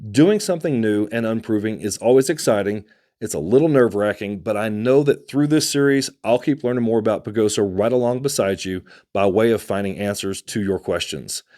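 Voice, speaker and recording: A man from Texas with a Texan accent, hosting a casual talk to camera, conversational and unhurried, with fillers and restarts. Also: fairly low pitch, mellow. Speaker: host.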